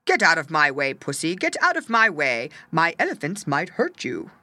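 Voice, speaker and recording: A woman's voice: deep voice, huge pitch swings, moderate pace, bright, forceful tone, no echo, heavily acted. A woman voicing a proud, kindly newlywed king in a storybook, declaiming courteously. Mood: kindly commanding.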